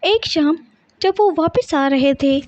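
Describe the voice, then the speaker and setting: Woman narrator, extremely high voice, scripted children's cartoon storytelling. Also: takes it slowly, a little mellow, dull audio.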